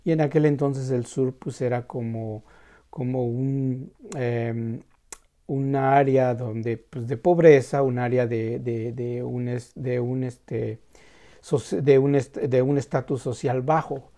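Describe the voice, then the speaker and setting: A man telling a Zen story in a casual, halting way, full of restarts and tag questions. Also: mid-range voice, fairly even intonation, mellow.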